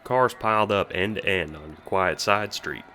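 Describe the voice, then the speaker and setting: A man narrates an audio drama in a very deep voice with a bright, forward edge. He addresses the listener as the character, with dry sarcasm and casual swearing. Faint noise sits behind the recording. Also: normal speed. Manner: sweary, sardonic.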